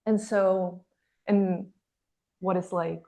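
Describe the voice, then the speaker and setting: Low, gravelly-edged woman from Venezuela. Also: steady tone, drawn-out words, dark tone. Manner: earnest storytelling, fillers like "like". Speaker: singer guest. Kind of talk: casual interview.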